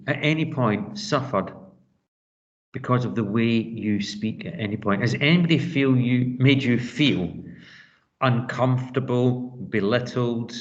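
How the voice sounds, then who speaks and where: Scottish male teacher, 50s, mid-pitched, talkative with restarts, muffled classroom recording. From Scotland. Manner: chatty, teasing, stammering restarts. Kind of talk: classroom discussion.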